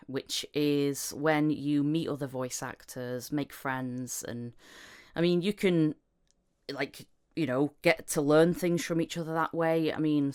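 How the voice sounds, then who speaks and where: A woman hosting a casual podcast chat, talking in a halting, rambling, self-deprecating way. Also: rumbling low, smooth. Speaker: podcast host and voice actor.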